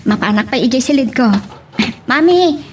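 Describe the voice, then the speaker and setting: A woman with an Ilocano Filipino accent playing an excited, affectionate little daughter in an audio drama. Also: high voice, moderate pace, slight background noise. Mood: sweet. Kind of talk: Ilocano radio-style drama acting.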